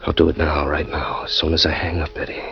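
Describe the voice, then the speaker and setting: An actor playing a man plotting to smother his wife, speaking in a hushed, menacing way in 1940s radio style. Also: extremely low pitch, flat delivery, fast talker, vocal fry, a little hiss or hum. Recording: muffled old radio recording.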